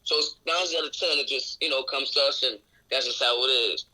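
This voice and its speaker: A raspy-voiced man in his twenties, a guest on a radio interview, talking openly and reflectively about his life. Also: mid-range voice, steady tone, brisk, pushed and bright, poor audio. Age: 20s. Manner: outspoken, open and reflective. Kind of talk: candid casual conversation. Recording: radio show recording.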